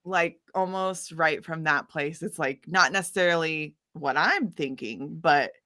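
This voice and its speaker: A woman's voice: low voice, unhurried, pushed and bright. A woman musician being interviewed, talking in a sunny, bubbly and informal way. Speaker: interview guest, singer-songwriter. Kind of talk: casual interview chat.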